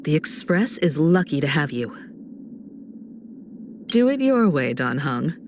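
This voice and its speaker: Casting: elegant adult woman navigator for a video game, unhurried, lively intonation, reassuring poise. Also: low-pitched, slight background noise, full character voice. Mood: encouraging, gracious. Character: graceful, composed, warm adult woman, spacefaring train navigator. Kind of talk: polished game voice acting.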